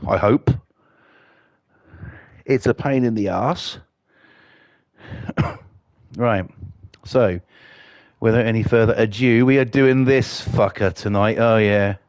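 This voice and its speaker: A man's voice: on the low side, slow, long pauses, darkish tone, no room sound. A man with a cold, chatting casually and swearing while streaming a game, with lots of fillers. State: has a cold.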